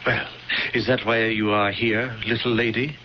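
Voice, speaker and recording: An actor playing a merciless high priest in a radio drama, mocking and condescending in a theatrical manner. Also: male voice, level tone, moderate pace, close to an everyday voice. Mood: falsely gentle. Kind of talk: 1970s radio drama acting. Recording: muffled, noisy old radio recording.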